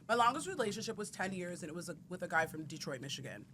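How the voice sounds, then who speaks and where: A woman's voice: lowish voice, sing-song, fast, a bit bright. Blunt woman, frank podcast guest, faint noise behind. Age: 30s. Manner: blunt and outspoken. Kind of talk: casual podcast chat.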